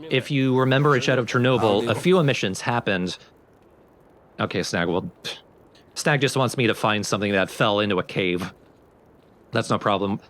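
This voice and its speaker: A man's voice: normal speed, pauses often, a bit bright. A man giving casual, informal gameplay commentary, explaining things as the host of a let's play. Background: game sound effects and rumble.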